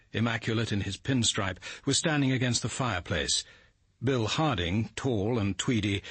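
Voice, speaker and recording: An English actor playing a measured, sceptical police detective in a radio drama, speaking in a dry, understated, quietly authoritative way. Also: male voice, on the low side, breathy edge, no room sound. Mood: measured narration. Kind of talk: radio drama dialogue and first-person narration.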